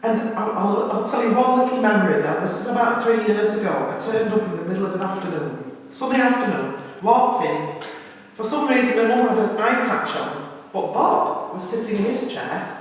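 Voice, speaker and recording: A middle-aged woman gives an informal, affectionate family eulogy in a chatty, anecdotal way. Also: mid-range voice. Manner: chatty, affectionate, turning to relatives for confirmation. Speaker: family member giving a funeral eulogy. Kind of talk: informal spoken tribute with anecdotes. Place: crematorium chapel. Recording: very echoey hall, distant mic, faint noise.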